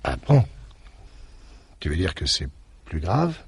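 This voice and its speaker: An actor in a French radio mystery drama, playing a defensive, weary suspect with a sardonic, short-tempered manner. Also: male voice, low voice, very animated, husky, exaggerated voice. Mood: subdued, weary. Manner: volatile, sardonic, halting when pressed.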